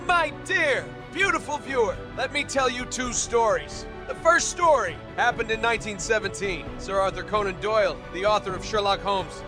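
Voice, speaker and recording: A man narrating an explainer video as a comic voice-over, playful and jokey, talking straight to the viewer. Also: high voice.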